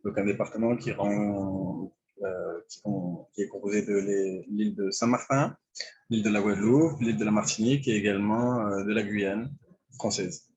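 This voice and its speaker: A man in his twenties from France, a medical intern, talking hesitantly about his career with many pauses and fillers. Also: fairly low pitch, fairly even intonation, a little mellow, husky, highs cut off. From France (Lyon). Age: 20s. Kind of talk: online panel talk about his career.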